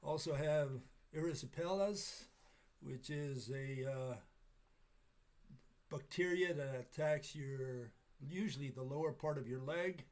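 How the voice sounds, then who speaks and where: A man with a raspy, hoarse voice from a cold, giving a casual lecture with many pauses and fillers. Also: on the high side. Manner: halting, many fillers, chuckles at himself.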